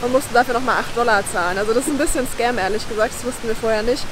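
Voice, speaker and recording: A young woman gives a casual travel update in a slightly high voice. She talks at a quick pace, recorded outdoors in the forest on a camera close by. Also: noisy background. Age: young adult. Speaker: travel vlogger.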